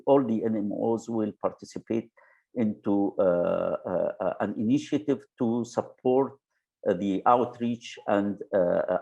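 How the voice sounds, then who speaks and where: Halting webinar moderator, man, low and gravelly, lots of fillers. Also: mellow, dull audio. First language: Arabic. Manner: halting, many "uh" fillers and restarts. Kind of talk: hesitant webinar presentation.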